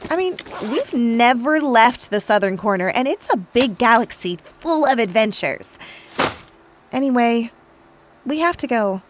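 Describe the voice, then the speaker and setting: A woman's voice: on the high side, lively intonation, measured pace, noisy background. A woman playing a bubbly, girly young squad member in a sci-fi audio drama, upbeat and earnest. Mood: chipper, hopeful.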